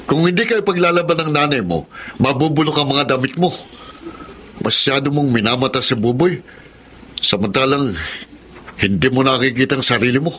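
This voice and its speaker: An actor playing a calm, fair-minded middle-aged father who reasons and gently reproves in a radio drama. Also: mid-range voice, moderate pace, pauses often, slight background noise, lightly acted. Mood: reproving.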